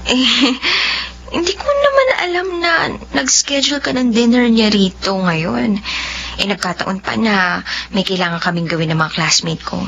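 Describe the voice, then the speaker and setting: A woman's voice: mid-range voice, very animated, moderate pace, gravelly, fairly bright tone. A young woman acting as a hesitant, torn young student in a drama, her speech faltering and apologetic. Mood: uneasy. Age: young adult. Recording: dull lo-fi audio with faint background noise.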